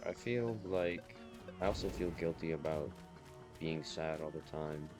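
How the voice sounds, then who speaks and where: A young man talking in a flat, monotonous way while playing a game at home on a desk mic. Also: a little slow. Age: young adult. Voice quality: gravelly low voice. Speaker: gamer vlogger. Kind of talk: casual gameplay commentary. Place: home room. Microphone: desk mic with noise suppression. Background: loud game music and gunfire.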